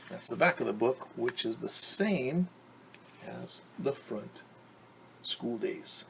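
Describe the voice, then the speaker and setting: Male preschool teacher, mid-pitched and a bit husky, reading aloud and explaining simply to little kids. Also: a bit bright. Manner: simple, instructive phrasing addressed to small children. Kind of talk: read-aloud storytime for young children, with explanations.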